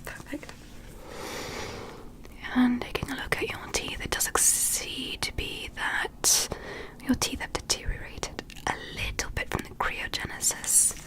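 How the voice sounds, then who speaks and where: A woman playing a polite, meticulous alien doctor in a whispered ASMR medical roleplay, gentle and methodical, often checking with questions. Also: measured pace, natural voice, poor audio. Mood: calm, attentive.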